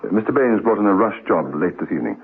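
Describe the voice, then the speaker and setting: A man's voice: lowish voice, lively intonation, creaky, slightly rough, heavily acted. An actor playing a hesitant, deferential male laboratory assistant in a spy radio drama. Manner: deferential, halting. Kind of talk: 1960s-style spy radio drama acting. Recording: tinny old radio recording.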